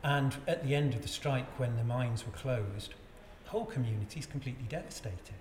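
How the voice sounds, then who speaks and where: A man in his fifties who grew up in Wales, a guest on a podcast. He speaks in a measured, storytelling way with some hesitation. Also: mid-range voice, crowd noise. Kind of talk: casual conversation. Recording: echoey room with long reverb and faint background noise.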